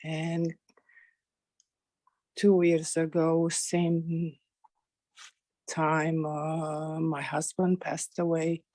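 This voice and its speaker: Woman, 60s, grieving, halting and hesitant, very slow, dark flat voice, dry sound. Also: low-pitched, no echo. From Romania. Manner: halting, hesitant, many pauses and fillers. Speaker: caller.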